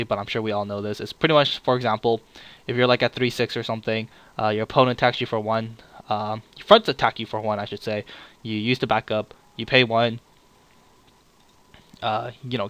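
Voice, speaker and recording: A man hosting a casual card game review, a little sick, talking in a relaxed and apologetic way with many filler "uh"s. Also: medium pitch, clear, resonant tone, dry recording. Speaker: channel host reviewing card leaks.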